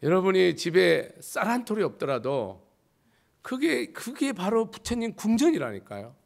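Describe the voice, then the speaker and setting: A man, a Buddhist monk, giving a sermon with a slightly hoarse voice, driving his points home with rhetorical questions and jumps between loud and quiet. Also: high-pitched, huge pitch swings, moderate pace.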